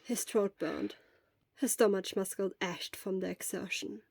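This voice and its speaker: A woman's voice: mid-range voice, measured pace, creaky, a little mellow, no echo. A woman reading scripted story narration as an audiobook narrator.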